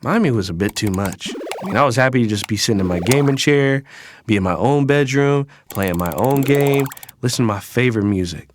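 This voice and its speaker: A man's voice: mid-range voice, animated, a little fast, slight background noise, natural voice. A young man playing a disgruntled son in an audio drama, narrating in the first person in a casual, slangy, conversational way. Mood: weary, reflective. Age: young adult. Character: disgruntled young son from a troubled wealthy family. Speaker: actor and narrator.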